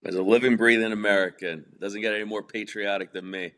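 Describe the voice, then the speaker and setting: Chatty low-voiced man telling stories. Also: steady tone, fast, fairly bright tone. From New Jersey, US. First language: Spanish. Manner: chatty, laughs at own jokes, frequent fillers. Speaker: podcast guest. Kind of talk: casual storytelling interview.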